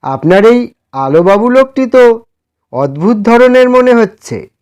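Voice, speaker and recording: A man's voice: very high-pitched, very animated, unhurried, darkish tone, clean tone, voice pushed up, full character voice. A man voicing a courteous, reserved doctor in a storybook, asking questions politely. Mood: intrigued. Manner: polite, inquiring. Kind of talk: dramatised storybook dialogue.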